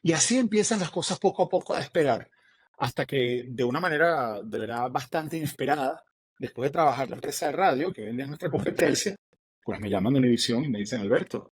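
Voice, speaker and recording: A middle-aged man with a Venezuelan accent, an author telling his story as an interview guest, animated and acting out what others said. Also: medium pitch, slightly rough. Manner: animated, fast, acts out quoted dialogue.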